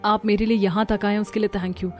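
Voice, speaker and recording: A woman's voice: medium pitch, level tone, normal speed, faint music behind, close to an everyday voice. A woman voicing a polite, well-off young woman in a cartoon story. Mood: grateful, polite. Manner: polite, mixes in English phrases. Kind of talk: Hindi cartoon story dubbing.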